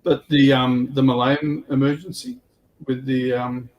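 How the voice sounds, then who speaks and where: An Australian man talks casually as a livestream panelist about his hobby. His voice sits at an average pitch and his phrasing rambles and hesitates. There is clear noise behind him. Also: steady tone, a little slow, lo-fi sound.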